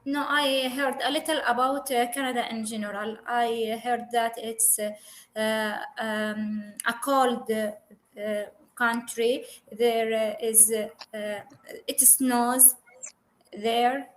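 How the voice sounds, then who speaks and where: Slow, hesitant woman learning English. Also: a little high, fairly even intonation. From Syria. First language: Arabic. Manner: hesitant, many pauses and fillers. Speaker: English student. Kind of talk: online conversation lesson. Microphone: video call.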